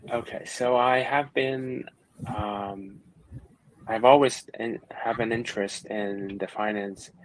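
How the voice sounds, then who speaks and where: A man's voice: lowish voice, steady tone, takes it slowly, rough recording. A young man from China answering panel questions, hesitant with many fillers. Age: young adult. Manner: hesitant, many ums and uhs. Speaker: university student panelist. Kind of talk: panel answers on video call. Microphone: video call.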